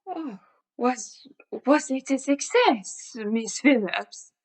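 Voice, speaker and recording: Woman as a slow, grand phony psychic. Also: high voice, lively intonation, husky, exaggerated voice. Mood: dazed, grand. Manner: formal, measured, imperious. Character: phony psychic madam, grand, scheming and controlled. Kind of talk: old radio crime melodrama acting.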